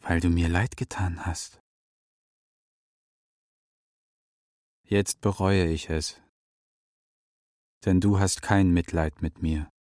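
A proud, wise talking eagle from a children's radio play. The voice is very low and unhurried, with a grave, solemn delivery in a dry, close sound. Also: male voice, steady tone, darkish tone, no room sound. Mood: reproachful, stern. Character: wise, proud, captured male eagle who talks. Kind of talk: German children's radio drama acting.

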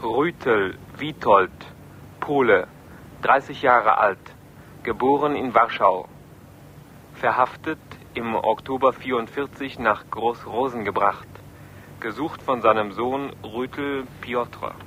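A male 1940s radio announcer reads lists slowly and formally at a middle pitch. The old recording has faint rumbling noise. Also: pushed and bright. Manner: measured, formal. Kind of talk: 1940s formal list reading.

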